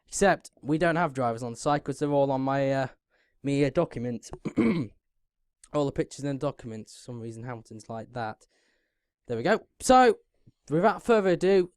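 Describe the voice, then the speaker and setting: Croaky young man with a sore throat. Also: mid-range voice, animated, moderate pace, dry recording, studio quality. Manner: chatty, keeps clearing his throat, many ums. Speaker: YouTube racing channel host. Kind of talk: casual commentary to viewers.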